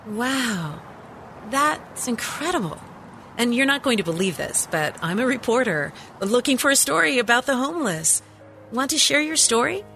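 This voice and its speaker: A woman playing an eager news reporter in a scripted radio drama, excited about her story. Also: fairly high pitch, normal speed, creaky, faint music behind, full character voice. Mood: amazed, excited.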